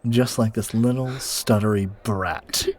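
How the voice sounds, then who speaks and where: A man's voice: lowish voice, steady tone, measured pace, close to an everyday voice. A man playing a smug, entitled young academy bully in an audio roleplay, with a taunting, menacing drawl. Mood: menacing, mocking.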